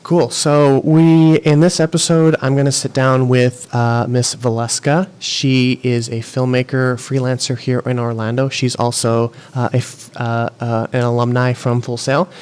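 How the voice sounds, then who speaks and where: A man hosts an interview show at a middle pitch, his voice smooth and resonant. He introduces the guest loosely, with fillers and small restarts. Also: flat delivery, measured pace, few pauses, a little mellow. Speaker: podcast host. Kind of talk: interview hosting.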